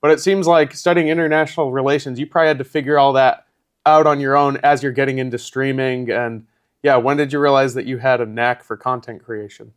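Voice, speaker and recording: A man's voice: medium pitch, steady tone, brisk, clear, resonant tone. Male podcast host, thirties, middle voice, friendly rambling questioner. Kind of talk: interview questions.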